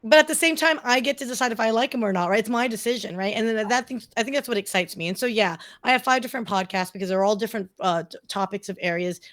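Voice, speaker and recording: A woman's voice: on the high side, quick pace, hardly any pauses, fairly bright tone. A middle-aged woman speaking casually as a podcast guest, enthusiastic, emphatic and chatty, often checking in with the listener. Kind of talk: casual conversational interview.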